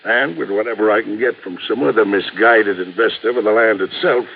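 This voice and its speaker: A man's voice: lowish voice, brisk, gravelly. An actor playing a smug, unruffled businessman in a vintage radio drama. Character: smug, confident businessman claiming fire insurance. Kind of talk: 1950s radio drama acting. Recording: muffled, hissy old radio recording.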